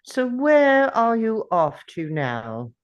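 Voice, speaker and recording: A woman's voice: fairly high pitch, very animated, slow pace, smooth, lo-fi sound. A woman playing a wry, thoughtful, eccentric time traveller in a drama table read, musing aloud. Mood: wry, probing.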